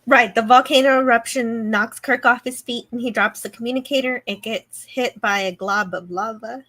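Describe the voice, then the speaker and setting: A woman's voice: on the high side, steady tone, normal speed, a bit bright. A woman co-hosting a review show, talking through an episode casually in an analytical, explanatory way. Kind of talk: casual episode recap and discussion.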